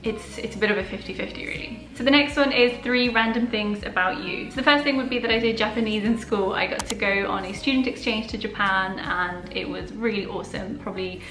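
A woman's voice: mid-range voice, brisk, hardly any pauses, echoey room, low-quality recording. A young woman whose first language is Polish, answering viewer questions in a casual, open vlog from her living room. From Poland. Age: young adult. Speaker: personal finance YouTuber. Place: living room at home, indoors.

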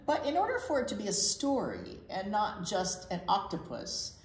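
An American woman in her sixties reads a picture book aloud as a children's storyteller, expressive and explaining things simply. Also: medium pitch, moderate pace, a little hiss or hum. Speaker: children's storytime reader at a library. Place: library children's room, indoors. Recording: roomy, echoey indoor sound.

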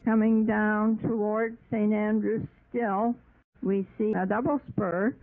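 A thin, phone-like recording carries a woman's slow commentary on old footage. Her voice has a gravelly creak as she describes each scene informally. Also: medium pitch. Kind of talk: unscripted descriptive commentary with recollections. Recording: narrow phone-like, old radio sound.

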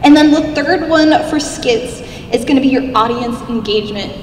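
High squeaky young woman talking cosplay judging, big roomy hall, faint hum behind. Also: normal speed. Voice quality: squeaky little voice. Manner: upbeat, animated, chatty. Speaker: cosplay contest judge giving a convention panel. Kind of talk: convention panel talk. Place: large convention hall, seated at a stage table. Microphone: handheld mic, filmed by a far camera. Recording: echoey hall, recorded from far off.

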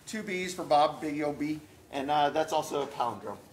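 A man co-hosting a live furniture store video, quick with jokes and deadpan asides. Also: on the high side, slight background noise. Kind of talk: joking live sales banter. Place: large furniture showroom indoors. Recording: echoey showroom with ambience.

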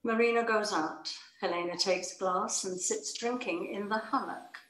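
A woman narrates stage directions from a script. Her voice sits at an average pitch, and the video-call recording has clear room echo. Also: normal speed, a bit bright. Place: room at home.

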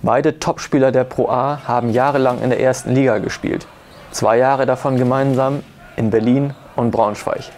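A man's voice: mid-range voice, fairly even intonation, normal speed, a little mellow, a little hiss or hum. A man in his thirties presenting a scripted sports news report. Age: 30s. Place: newspaper office, indoors.

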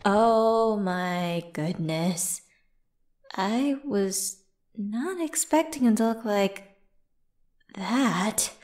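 A voice actress plays a flustered but friendly woman in an audio roleplay. She talks at a slow pace with a chatty, hesitant manner, at a middle pitch. The sound is dry, with no room echo. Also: lightly acted. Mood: embarrassed, distracted. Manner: hesitant, chatty, expressive with sighs and giggles. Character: flustered, friendly woman locked out of her car at Christmas. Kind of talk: ASMR roleplay acting, one-sided conversation.

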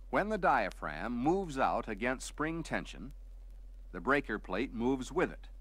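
A man reading scripted technical narration for a vintage instructional film, explaining step by step in a measured, didactic way. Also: medium pitch, fairly bright tone, dry recording.

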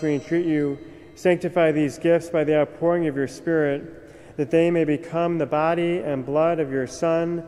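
A young man, a priest, reciting the prayers of Mass at the altar in a measured, solemn way. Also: fairly high pitch, mellow. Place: large cathedral, at the altar. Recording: long cathedral reverb.

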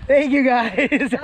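A young man with a high, light voice chats cheerfully as he fishes, narrating straight to a body-worn camera. Wind and steady outdoor noise sit clearly under his voice. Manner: upbeat, chatty, laughs often. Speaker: fishing vlogger. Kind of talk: casual outdoor vlog narration. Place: outdoors on a lakeshore. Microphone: body-worn action camera.

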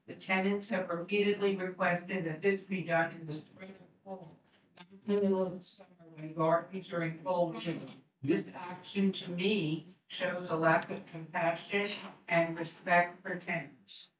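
An older woman reads her comment slowly and earnestly at a public meeting, pausing often between points. She sounds set back from the microphone, with the echo of a large room around her voice. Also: on the low side, steady tone. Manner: earnest, measured, with many pauses. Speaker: tenant giving public comment. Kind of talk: reading prepared public comment. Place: community room at a board meeting, seated. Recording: roomy sound from a distant mic.

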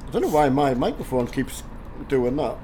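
An older man from the north of England, hoarse from a cold, chatting casually on a game live stream and greeting viewers by name through a headset mic. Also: mid-range voice, big pitch swings, normal speed, warm tone, slight background noise. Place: home gaming room with driving rig.

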